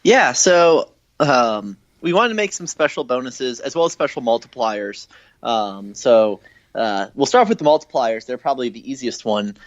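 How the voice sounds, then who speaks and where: Casting: male radio guest, informal explainer, halting but keen, mid-pitched, on a muffled low-fidelity call. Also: animated, no echo. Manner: hesitant, halting, filler-heavy delivery. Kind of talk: informal explanatory interview talk. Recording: dull, lo-fi call-in audio.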